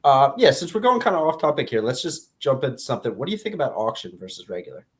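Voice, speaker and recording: A man's voice: medium pitch, sing-song, quick pace. A man with an American accent co-hosting a podcast, talking informally with a friend. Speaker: podcast co-host. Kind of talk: casual banter between friends.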